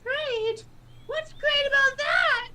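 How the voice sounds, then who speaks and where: A man voicing a bewildered, whimsical female inner voice in a questioning falsetto, reading from a visual novel. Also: slow pace, noisy background. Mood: puzzled. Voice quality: high, put-on falsetto.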